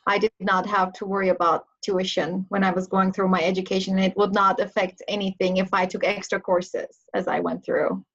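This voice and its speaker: Measured woman professor from Turkey. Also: mid-range voice, fairly even intonation, a bit bright. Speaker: engineering professor. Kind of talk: casual Q&A on a video call. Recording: dull lo-fi video call audio.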